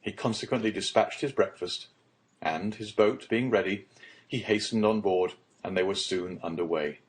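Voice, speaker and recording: A man reading literary narration as an audiobook narrator, in a formal and measured storytelling manner. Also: low voice.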